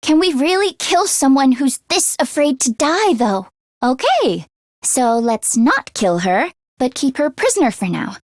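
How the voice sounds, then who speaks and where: Casting: teenage girl fighter for a video game, very high voice, anxious and earnest, expressive with hesitant stammers, dry studio sound. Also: unhurried, dry recording. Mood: earnest, focused. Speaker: voice actress.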